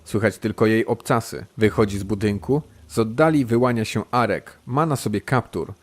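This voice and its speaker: A man's voice: lowish voice, normal speed. A man reading scripted present-tense narration for an audio drama.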